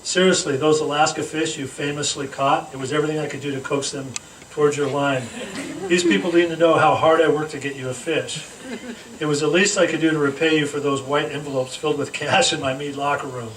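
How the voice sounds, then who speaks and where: A distant, noisy recording catches a man giving a roast speech in a room with plenty of echo. He reads it aloud in a fairly high voice, setting up his teasing lines with deliberate pauses. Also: steady tone, fairly bright tone. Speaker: speaker reading a roast tribute aloud. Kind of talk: comedic roast speech read aloud to a gathering. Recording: echoey room, distant mic, noisy background.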